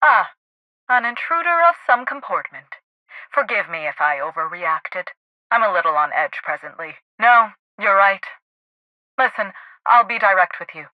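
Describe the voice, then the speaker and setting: A woman's voice: medium pitch, dry recording, natural voice. Actress as a haughty woman scientist, dry muffled audio. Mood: cool, appraising, then defensive, urgent. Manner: pompous, formal, precise diction. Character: haughty, high-strung woman scientist heading a research lab. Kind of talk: video game character dialogue.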